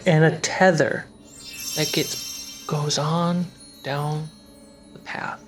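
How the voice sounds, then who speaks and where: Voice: mid-range voice, little pitch movement, extremely slow, faint music behind. A game master narrating a tabletop fantasy game in a suspenseful, storytelling style.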